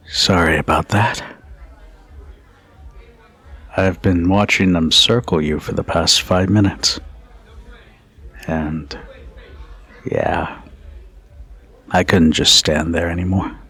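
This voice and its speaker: A man playing a protective, teasing, dominant bartender in an intimate ASMR roleplay, speaking in a deliberate, commanding yet caring way. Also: fairly even intonation, pushed and bright, full character voice. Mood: concerned, soft. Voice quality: low husky voice.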